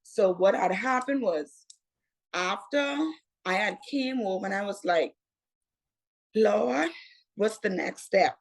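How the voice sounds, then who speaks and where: A young Bahamian woman tells her story at an easy, slow pace. Her voice sits in a middle register, and she keeps circling back with the same phrases as she recounts events. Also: mid-range voice, animated, vocal fry, low-quality recording. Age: 20s. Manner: unhurried, repeats "what had happened was". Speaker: interview guest, entrepreneur. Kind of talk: casual interview storytelling.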